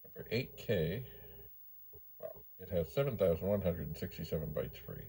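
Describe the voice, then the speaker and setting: A man's voice: low-pitched, animated, normal speed, noisy background. A man hosting a hobby video, giving casual, rambling commentary as he demonstrates, with musing asides to himself. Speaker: host of a retro computer video channel.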